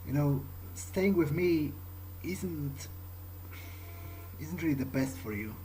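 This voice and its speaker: A man improvising in character during a game playthrough, speaking in a halting way and repeating words. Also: a little high, expressive, dark tone, low-quality recording. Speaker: gamer roleplaying his character. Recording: faint background noise.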